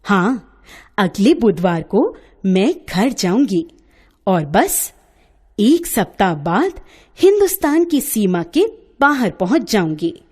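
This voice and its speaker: A woman's voice: medium pitch, sing-song, slow pace, a little mellow, breathy edge, full character voice. A woman voicing a cheerful, teasing young woman painter, proud and boastful about her art, in a storybook tale. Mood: happy.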